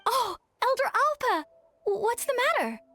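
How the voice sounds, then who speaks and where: Eager youngster, very high-voiced. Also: female voice, big pitch swings, moderate pace, fairly bright tone, exaggerated voice. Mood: surprised. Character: eager, earnest young flyer, youthful and excitable. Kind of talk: animated video game voice acting.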